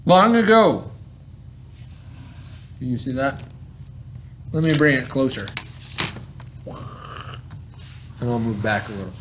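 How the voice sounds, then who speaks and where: A man's voice: medium pitch, very animated, slow, pauses often, a bit bright, slight background noise. A man speaking as a schoolteacher in an informal lesson for a child, explaining things conversationally and checking for understanding. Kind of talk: informal read-aloud and vocabulary lesson for a child.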